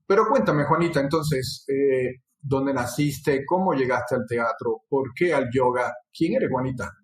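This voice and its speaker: A man's voice: medium pitch, unhurried. A man from Cuba who hosts an interview, asking reflective, musing questions with occasional fillers. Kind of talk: conversational interview. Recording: roomy echo.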